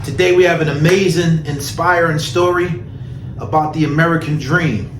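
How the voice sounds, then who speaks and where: Male host, casual interviewer, encouraging, repeats himself; voice on the higher side, roomy recording with faint noise. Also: steady tone, moderate pace, recorded from a distance.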